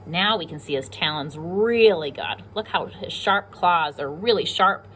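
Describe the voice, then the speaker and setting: A woman's voice: fairly low pitch, unhurried, fairly bright tone, a little hiss or hum. A woman with an American accent reading a picture book aloud to young children, explaining simply and asking them questions as a pre-K teacher.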